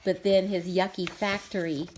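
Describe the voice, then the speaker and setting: A woman's voice: fairly low pitch, level tone, moderate pace, darkish tone, slight background noise, poor audio. A woman reading a children's book aloud expressively, breaking off for simple chatty asides and questions to young listeners. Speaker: storybook reader.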